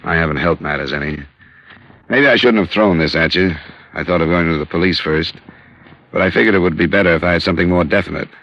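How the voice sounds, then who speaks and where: An actor playing a sober, dogged American insurance investigator in a 1950s radio drama, with a measured, matter-of-fact delivery. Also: male voice, sits low. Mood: gently sympathetic. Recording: dull, lo-fi old radio recording.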